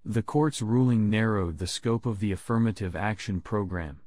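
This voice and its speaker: A man reading scripted language test sentences aloud in a measured, deliberate way. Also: lowish voice, darkish tone, no echo, studio quality. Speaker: test prompt reader.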